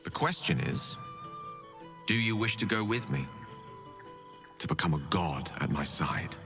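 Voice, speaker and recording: Ambitious wizard god, deep voice, intimate, measured, self-assured, seductive, fantasy game, music behind. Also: male voice, expressive, creaky, exaggerated voice. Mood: tender, inviting. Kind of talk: scripted fantasy game acting. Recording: loud background music.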